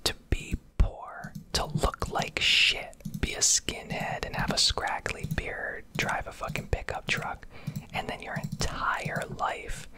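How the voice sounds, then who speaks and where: A young American woman from Connecticut whispering a blunt, sweary, rambling ASMR rant in a breathy, unvoiced voice. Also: takes it slowly. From Connecticut, United States. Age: young adult. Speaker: ASMR streamer.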